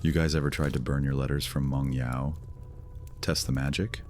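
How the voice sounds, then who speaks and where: A man voicing a very deep, volatile young vampire. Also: fairly even intonation, normal speed, noisy background. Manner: brooding, turning cold and threatening. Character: young male vampire leader, bitter and volatile. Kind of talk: audio drama acting.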